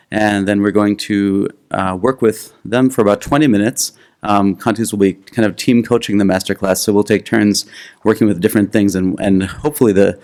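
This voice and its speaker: Man announcing a choral master class. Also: on the low side. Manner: relaxed, with frequent "um" pauses. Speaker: ensemble singer leading a choral master class. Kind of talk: announcing to an audience. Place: auditorium.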